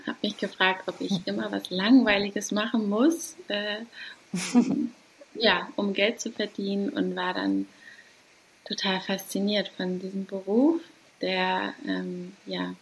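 A woman in her thirties from Hamburg, talking casually and hesitantly as a guest, with many pauses and light laughs. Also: mid-range voice, vocal fry, a bit bright, husky, rough recording. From Hamburg, Germany. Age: 30s. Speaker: guest, doula. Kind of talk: casual interview talk.

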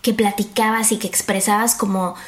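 A woman's voice: medium pitch, level tone, moderate pace. A woman hosting a podcast, chatty and playful, greeting her listeners as friends and recording at her guest's home. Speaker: podcast host. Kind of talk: casual podcast chat.

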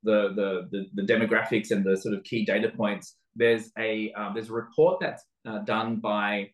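Casting: Australian male interview guest, lowish voice, thoughtful and halting, recorded in a roomy echo. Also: level tone. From Sydney, Australia. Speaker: interview guest, venture capital investor. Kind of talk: conversational interview answer. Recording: roomy, echoing sound.

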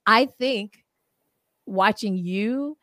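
A woman's voice: medium pitch, very slow, sharp tone, no echo, studio quality. A middle-aged woman hosting a podcast, chatty and affirming, with plenty of fillers. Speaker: podcast host. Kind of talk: casual interview conversation.

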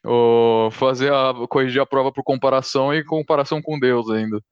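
A man hosting a casual conversation, relaxed and chatty, inviting questions from listeners. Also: medium pitch, brisk, clean tone, no room sound, highs cut off. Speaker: host.

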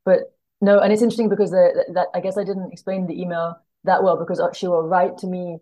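A woman's voice: fairly low pitch, flat delivery, fast talker, dark tone. A woman speaking as a guest translator in casual podcast chat, thoughtfully telling anecdotes and often circling back to rephrase.

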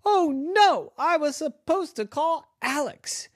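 Woman voicing a sleepy, exasperated storybook girl, hushed, lively pitch, close dry sound. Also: slow pace, dry recording. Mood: alarmed. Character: sleepy young girl hushing a caller.

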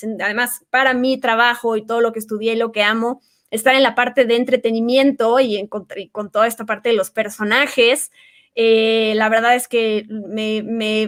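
A woman with a Mexican accent chatting casually, telling stories about her work with frequent restarts. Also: on the high side. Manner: warm storytelling with many restarts and fillers. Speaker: guest. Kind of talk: casual chat.

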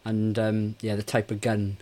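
A man's voice: on the low side, completely flat delivery, moderate pace, mellow. A British man in his forties talking casually as a co-host on a film review podcast. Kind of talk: casual chat.

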